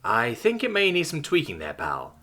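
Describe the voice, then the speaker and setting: A man's voice: medium pitch, wildly swooping pitch, normal speed, pushed and bright, voice pushed up. A man voicing a tough, weary woman fighter who talks in a blunt, crude, exasperated way. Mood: dry.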